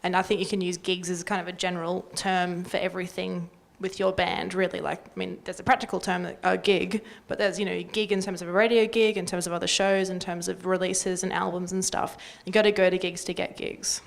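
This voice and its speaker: A woman's voice: on the low side, level tone, brisk, gravelly, hardly any pauses. A woman talking casually on a music industry panel in a blunt, wry way.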